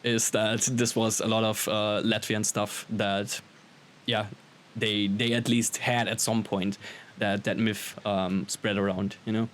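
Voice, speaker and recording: A man's voice: on the low side, level tone, unhurried, a bit bright. A man with an Austrian accent, a native German speaker, talking casually and enthusiastically as a musician being interviewed. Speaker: musician guest on a podcast. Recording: clearly audible background hiss.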